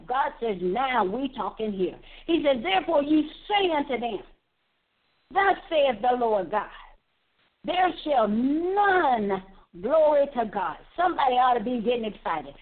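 A woman preaching a fervent sermon, reading scripture and exhorting in an emphatic, declamatory way with repeated phrases. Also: extremely high pitch. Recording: tinny, phone-like narrowband sound.

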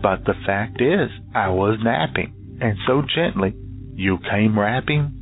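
A man playing a solemn, haunted narrator in a portentous radio recital of a gothic poem. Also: on the low side. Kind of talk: dramatic poetry recital. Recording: thin phone-like band, music behind. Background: music.

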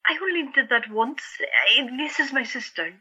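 In slightly muffled sound, an actress plays a woman artist in a 1917 infirmary, troubled yet warm. The voice is breathy, bright and a little high, in audio drama style. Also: sing-song, normal speed, full character voice. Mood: teasing, embarrassed. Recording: dull, band-limited sound.

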